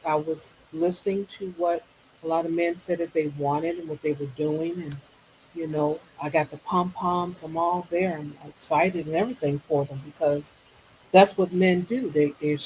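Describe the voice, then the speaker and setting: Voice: mid-range voice, darkish tone, rough recording. A livestream host in casual chat, speaking emphatically and firing off rhetorical questions. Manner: emphatic, rhetorical questions, mild hum of noise.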